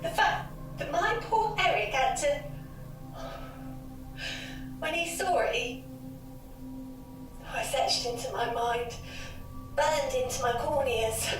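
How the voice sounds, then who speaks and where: An actress playing a distraught, haunted mother in an audio drama, halting and tearful as she speaks. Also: high voice. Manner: halting, broken sentences, tearful. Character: distraught haunted mother, shaken by a ghostly face. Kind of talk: audio drama, old taped interview. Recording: echoey distant tape with music over it.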